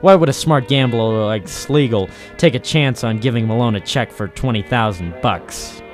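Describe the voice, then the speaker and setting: An actor playing a cynical, tough private eye, deadpan and wry, in a noir radio drama. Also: male voice, medium pitch, moderate pace, faint music behind, close to an everyday voice. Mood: cynical narrating. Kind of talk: 1940s film noir radio detective narration.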